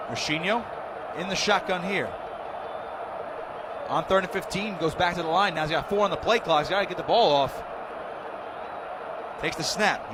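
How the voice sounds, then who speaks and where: An American man doing live radio play-by-play of a football game in excitable, clipped running descriptions. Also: high-pitched. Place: press box high above a stadium. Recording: loud stadium noise, outdoor broadcast.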